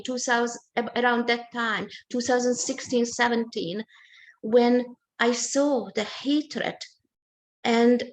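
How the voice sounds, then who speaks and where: An older woman, speaking in a slightly high voice. She talks gently and haltingly, often restarting her sentences as she searches for the words. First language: Hungarian. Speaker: author being interviewed. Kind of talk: personal recollection in a book talk.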